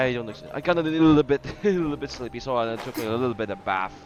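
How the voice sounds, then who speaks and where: A man's voice: medium pitch, quick pace. A man giving casual live gameplay commentary, often searching for words and repeating himself. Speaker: game streamer. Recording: slightly dull, lo-fi microphone. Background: game music.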